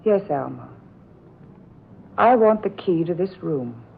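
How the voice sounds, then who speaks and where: An actress playing a distraught, headstrong young niece with earnest, dramatic delivery in an old radio melodrama. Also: female voice, fairly low pitch, expressive, slow, creaky, exaggerated voice. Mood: insistent. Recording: muffled old radio recording, faint hiss.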